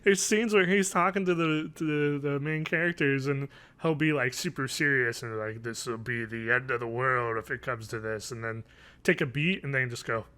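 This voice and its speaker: Male co-host, thirties, medium pitch, jokey and sweary movie-podcast banter. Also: moderate pace, pushed and bright, clear, resonant tone. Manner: joking, swears casually. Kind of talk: casual film and TV chat. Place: small home room, remote call.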